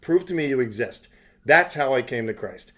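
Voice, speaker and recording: Guy hosting an online chat show, rambly and halting, earnest, kinda casual testimony style. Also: medium pitch, animated, fairly bright tone. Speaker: interviewer and online show host.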